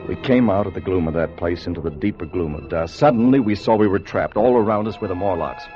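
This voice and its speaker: An actor playing an earnest, protective time traveller who narrates with solemn, theatrical intensity in a 1950s radio drama. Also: male voice, low-pitched, quick pace, natural voice. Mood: grave, suspenseful. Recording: muffled vintage radio recording with music.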